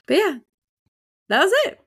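A woman's voice: high voice, lively intonation, measured pace, vocal fry, fairly bright tone, soft background music. A woman co-hosting a casual book chat, talking informally and effusively with lots of "like". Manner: informal and effusive, heavy use of "like". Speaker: podcast co-host; school teacher.

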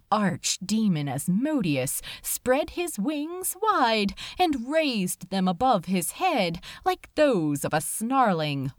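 A woman reading a story aloud as a dramatic narrator, with measured, deliberate phrasing and weighted pauses. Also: mid-range voice, no room sound, studio quality. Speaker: fan fiction reader, narrator. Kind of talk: dramatic scripted audiobook narration.

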